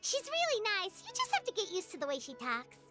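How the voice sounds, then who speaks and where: Squeaky, excitable little fairy companion. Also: female voice, a little fast, music in the background, heavily acted. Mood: chatty, cheeky. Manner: childlike, exclamatory, chatty. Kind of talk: video game voice acting.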